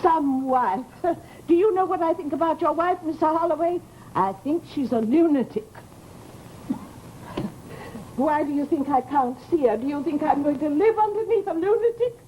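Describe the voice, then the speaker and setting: A woman's voice: high voice, lively intonation, normal speed, dark tone, slight background noise, poor audio. An actress playing a nosy, aggrieved widowed landlady with an American accent in an old-time radio horror drama, talking in a garrulous, dramatic way. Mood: accusing, indignant.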